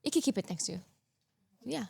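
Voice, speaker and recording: A woman's voice: fairly high pitch, lively intonation, brisk, vocal fry, breathy edge. A woman from Saudi Arabia hosting a conversational interview, affirming and encouraging, often echoing her guest's words. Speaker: podcast host.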